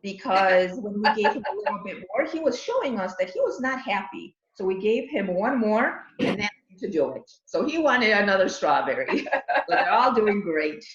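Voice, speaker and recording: A woman's voice: medium pitch, very animated, normal speed. A woman giving a casual update to a class over a video call. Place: echoey room on a video call. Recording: echoey, muffled video call, faint noise.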